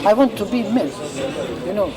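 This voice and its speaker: A man with a Bosnian accent giving an earnest interview in non-native English, halting and repeating himself as he searches for words. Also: sits high. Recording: loud music and crowd behind.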